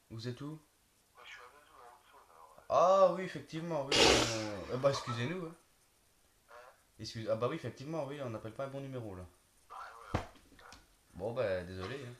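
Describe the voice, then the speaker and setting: A man's voice: medium pitch, normal speed, pauses often. A young man bantering casually in slangy, teasing, sweary speech while hosting prank phone calls. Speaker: prank call host. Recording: echoey room with clicks and noise.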